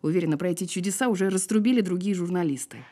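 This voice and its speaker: A woman's voice: fairly low pitch, warm tone, lightly acted. An actress playing a wry, guarded woman in an audio drama, sparring with dry sarcasm. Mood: cool, teasing. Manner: dry, sardonic, unhurried sparring. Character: wry, guarded woman who survived a fall. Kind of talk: naturalistic audio drama acting.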